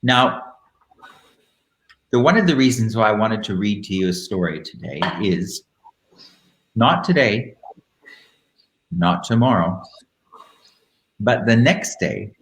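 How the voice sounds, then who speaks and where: A man hosting a live online show in a camp, theatrical manner, moving between casual chat and dramatic reading with deliberate phrasing. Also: fairly low pitch, animated. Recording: roomy, echoing live-stream sound.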